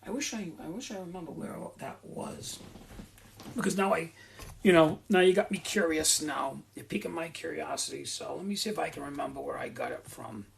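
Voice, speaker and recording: A middle-aged man chatting casually to his viewers, rambling from one story to the next and circling back with asides. His voice sits fairly high for a man, with a gravelly, creaky edge running through it. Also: brisk, slight background noise. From Rhode Island. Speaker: host of a live Q and A.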